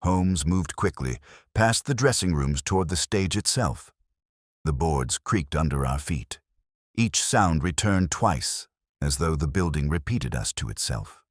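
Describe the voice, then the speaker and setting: A man narrating a dramatic mystery story in a measured, solemn manner with short, deliberate phrases. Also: extremely low pitch, animated, no room sound, studio quality.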